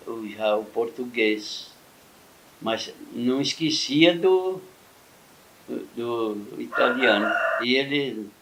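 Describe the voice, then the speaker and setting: A man's voice: mid-range voice, fairly bright tone, slight background noise, low-quality recording. A man recalling growing up in his family, speaking plainly. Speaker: interviewee. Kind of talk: casual recollection.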